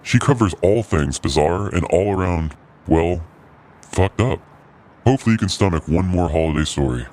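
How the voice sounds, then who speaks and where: A man's voice: low-pitched, expressive, moderate pace, a little mellow. A man voicing a holiday cryptid who acts as a podcast guide, in scripted hosting narration. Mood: eerily genial. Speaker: host.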